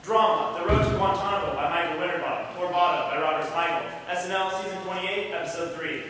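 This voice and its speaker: A young man reads lines from a stage in a declamatory, at times sarcastic way, his voice pitched fairly high. He is captured from far away in a large reverberant hall, with clear background noise. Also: fast, bright, forceful tone. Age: young adult. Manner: declamatory, switching to sarcastic tour-guide patter. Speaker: reader's theatre performer. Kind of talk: competitive reader's theatre performance. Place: auditorium stage. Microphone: far room mic.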